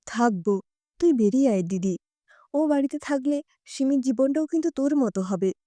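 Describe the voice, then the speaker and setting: Woman as a heartbroken young man, mellow-voiced. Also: sits high, animated, normal speed, dry recording. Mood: earnest. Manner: pleading, anguished. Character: heartbroken, despairing young man, a jobless student.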